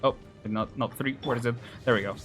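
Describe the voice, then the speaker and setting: A man's voice: mid-range voice, fairly quick, fairly bright tone. A man doing casual live game commentary, with slangy, offhand reactions muttered half to himself. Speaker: gaming streamer. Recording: game music behind the voice.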